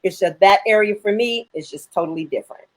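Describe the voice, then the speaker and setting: A woman's voice: mid-range voice, quick pace. A woman hosting a chat about her work as a filmmaker, answering viewers in a frank, self-assured way. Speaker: host, independent filmmaker.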